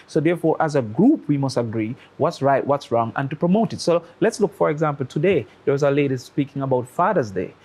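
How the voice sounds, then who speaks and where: A man's voice: on the high side, lively intonation, a little fast, hardly any pauses, a little mellow. Jamaican man arguing his point. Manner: argues with analogies and rhetorical questions. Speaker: studio guest. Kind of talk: opinionated talk-show commentary.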